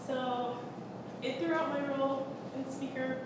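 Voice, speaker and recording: A young woman giving a short campaign speech as a speaker candidate, earnest and halting. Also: high voice, steady tone. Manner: earnest, halting, laughs at herself. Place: large echoey meeting room. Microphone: distant room mic. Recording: echoey hall from far off, noisy.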